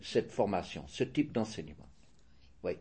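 An older man with a Swiss French accent answering interview questions in a measured, hesitant way, often restarting and correcting himself. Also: fairly low pitch, sing-song, darkish tone, husky.

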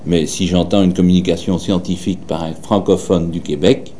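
A man with a Walloon Belgian accent answering interview questions in a hesitant, measured way, with frequent filler pauses. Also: sits low, level tone, dark tone, slight background noise. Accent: Walloon Belgian French.

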